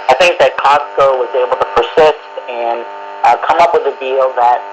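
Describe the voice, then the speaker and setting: A man giving measured, explanatory analysis as a guest commentator in a radio panel discussion. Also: medium pitch, steady tone. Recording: noisy shortwave radio with mains hum. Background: clear static noise and hum.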